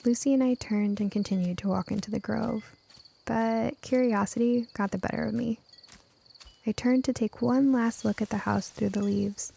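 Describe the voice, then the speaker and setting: Creaky-voiced woman narrating a story. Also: on the high side, fairly even intonation, normal speed, mellow, slight background noise. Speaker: audiobook narrator. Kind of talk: scripted first-person story narration.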